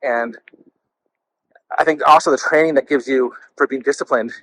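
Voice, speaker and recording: A man's voice: medium pitch, steady tone, normal speed, a bit bright, a little hiss or hum, low-quality recording. A man hosting a casual walk-and-talk interview, speaking in a gushing, effusive way. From California, lived in the Bay Area. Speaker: interview show host.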